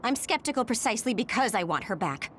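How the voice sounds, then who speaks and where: Blunt, higher-voiced skeptical soldier. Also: female voice, big pitch swings, fast, fairly bright tone, a little hiss or hum. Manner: dry, blunt. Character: blunt, skeptical female soldier, hard-headed realist. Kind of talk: English video game voice acting.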